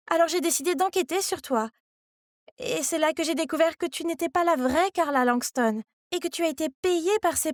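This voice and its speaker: A woman voicing a desperate, resentful high school girl, pleading her case in an animated story. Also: dry recording, clean studio sound.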